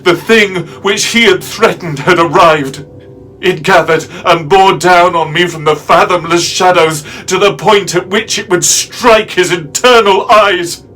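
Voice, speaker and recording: A man playing a haunted, fearful narrator in a dramatic reading of a horror tale, speaking deliberately and theatrically with halting pauses. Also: lowish voice, lively intonation, fairly bright tone, soft background music, poor audio. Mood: desperate terror. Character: haunted, fearful man recounting a nightmarish pursuit. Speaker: actor, narrator.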